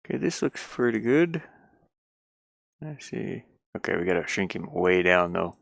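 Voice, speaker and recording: A man's voice: sits low, lively intonation, a little slow, vocal fry, a bit bright, dry recording, clean studio sound. A man giving casual think-aloud commentary as he works on a game, rambling and muttering to himself with repeated words. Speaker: game developer streaming his work.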